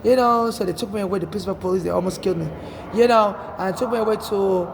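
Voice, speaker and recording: High, gravelly Nigerian man. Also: fast talker, background noise. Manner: earnest, rambling, frequent restarts and "you know". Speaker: storyteller recounting personal experience. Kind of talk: casual first-person monologue.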